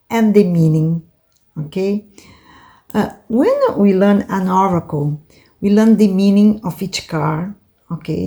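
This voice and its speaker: A woman from Brazil with a Brazilian Portuguese accent, teaching card reading in English as a second language, halting and full of fillers. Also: fairly low pitch, mellow. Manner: unhurried, halting, many fillers and tag questions. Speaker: tarot and oracle card teacher.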